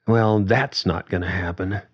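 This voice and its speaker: A man's voice: sits low, steady tone, husky, muffled recording. A man voicing a young woman, a daughter who answers her father hesitantly. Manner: hesitant, halting replies. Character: young woman, daughter, shaken but frank.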